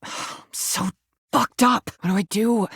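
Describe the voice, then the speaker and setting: Husky, guarded rubber duck girl. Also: mid-range voice, fairly even intonation, fairly bright tone, dry recording, clean studio sound, exaggerated voice. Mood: anxious, reluctant. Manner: blunt and defensive, breaking into halting vulnerability. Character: angry, guarded, wounded female rubber duck, tough and foul-mouthed. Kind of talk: video game character acting.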